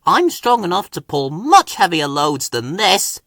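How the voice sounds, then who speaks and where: A man's voice: sits high, big pitch swings, unhurried, fairly bright tone, no echo, clean studio sound, voice pushed up, heavily acted. A man voicing a proud, stubborn, easily huffy little tank engine in a children's storybook. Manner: huffy, puffing, emphatic.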